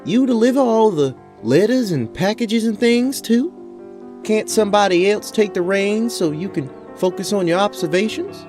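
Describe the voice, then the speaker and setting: Man putting on an earnest, higher-pitched adventuring woman. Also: animated, moderate pace, darkish tone, faint music behind. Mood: earnest, curious. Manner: polite, earnest, inquiring. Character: polite, curious adventuring woman, earnest party leader. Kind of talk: fantasy audio drama acting.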